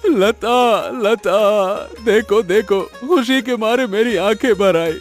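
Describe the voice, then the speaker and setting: A man voicing a good-hearted, sentimental farmer husband in a Hindi cartoon moral story, expressive and full of exclamations. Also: high voice, slow pace, faint music behind. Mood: overjoyed.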